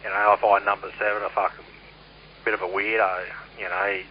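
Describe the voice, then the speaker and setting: Low-voiced Australian man on muffled CB radio. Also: flat delivery, fairly quick. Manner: blunt, sweary, rambling. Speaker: CB radio operator. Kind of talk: casual radio chat. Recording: muffled, noisy CB radio transmission.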